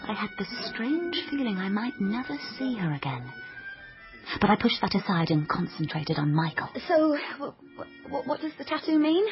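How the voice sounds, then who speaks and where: A dreamy, chatty young woman, played by an actress tells her story in a radio drama. She is wry and melodramatic with a mid-pitched voice, in a dull broadcast mix with clear music behind. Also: big pitch swings, moderate pace, close to an everyday voice. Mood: curious, flustered. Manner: wry, melodramatic, flustered in dialogue. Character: naive, dreamy, chatty young woman obsessed with detective novels. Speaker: lead character and narrator. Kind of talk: radio drama, dialogue and wry first-person narration.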